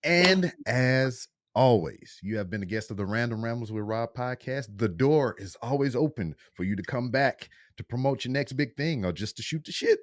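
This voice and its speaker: A man with a deep voice hosting a podcast, talking in a laid-back, slangy way and laughing often. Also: lively intonation, normal speed, hardly any pauses, no room sound, studio quality. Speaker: podcast host. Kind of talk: casual interview chat.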